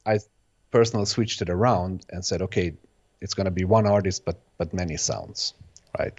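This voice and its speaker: A man's voice: low-pitched, normal speed, darkish tone, breathy edge, highs cut off. A man whose first language is Bosnian, a music producer answering interview questions in a hesitant way, often restarting his phrases. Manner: hesitant, restarts phrases, many "sort of" hedges. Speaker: guest, electronic music producer.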